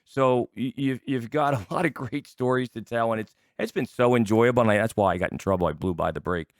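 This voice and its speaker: A man's voice: medium pitch, a little fast, no room sound, studio quality. A man hosting a sports talk show in casual interview chat, chatty and often stumbling over and repeating his words.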